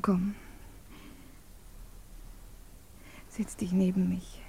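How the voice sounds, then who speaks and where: An actress playing an unhappily married, secretly loving woman, tender and restless, with an intimate breathy delivery in a 1950s German radio play. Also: on the low side, monotone, measured pace, mellow, a little hiss or hum. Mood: tender, hushed.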